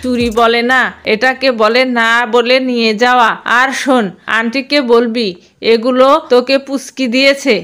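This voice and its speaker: A woman's voice: fairly high pitch, little pitch movement, moderate pace, fairly bright tone, clean tone, lightly acted. A woman voicing a cheeky, scheming young animal girl in a cartoon, bold and persuasive.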